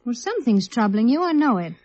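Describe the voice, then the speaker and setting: A spirited young American wife, with a fairly high voice and teasing delivery in old radio drama style. The recording sounds muffled and dated. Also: female voice, sing-song, quick pace, a little mellow. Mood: probing, piqued. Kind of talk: 1950s radio drama acting.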